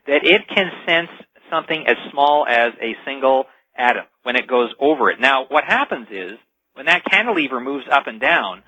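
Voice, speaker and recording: A man's voice: on the high side, bright, forceful tone, breathy edge, no room sound. A man speaking as an expert radio guest, explaining technology informally in dull, lo-fi interview audio.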